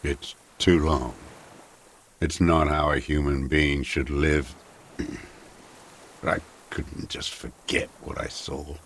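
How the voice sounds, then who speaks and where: A bitter old commissar for a video game, super low croaky smoker voice, tired and terse. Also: male voice, fairly even intonation, a bit bright, slight background noise, heavily acted. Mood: weary. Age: older. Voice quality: gravelly, coughing smoker's voice. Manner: terse, halting, brooding. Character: bitter, weary old communist deserter, former political commissar. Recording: music under the voice.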